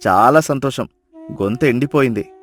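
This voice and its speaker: A man voicing a hearty, friendly visiting village in-law in a moral story, loud and exclaiming in a folksy way. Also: mid-range voice, moderate pace, soft background music, natural voice. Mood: friendly, grateful. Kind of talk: storybook dialogue acting.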